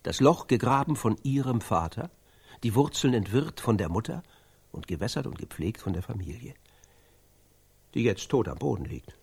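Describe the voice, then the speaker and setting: An actor playing an intense man who grimly recounts atrocities in a radio drama, speaking in deliberate, halting phrases with a husky voice. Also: mid-range voice, expressive, a little mellow. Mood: grave, hushed. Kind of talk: German radio drama dialogue.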